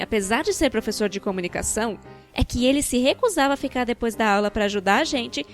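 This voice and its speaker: A Brazilian woman with a fairly high voice narrates a personal story from a script. Her delivery is expressive and wry, with colloquial phrasing. Music plays behind her voice. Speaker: narrator of a fan-dubbed animated storytime video. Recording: background music.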